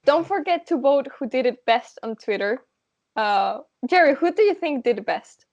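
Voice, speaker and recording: A woman with a Spanish accent co-hosting a casual podcast, talking quickly and animatedly. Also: sits high, lively intonation, lo-fi sound. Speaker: podcast co-host.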